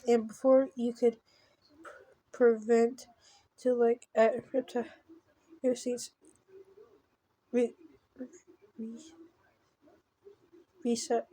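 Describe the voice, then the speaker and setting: A woman reading a visual novel aloud as she plays, haltingly, often stumbling on words and repeating them. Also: on the high side, warm tone, no echo. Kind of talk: reading game text aloud with brief asides.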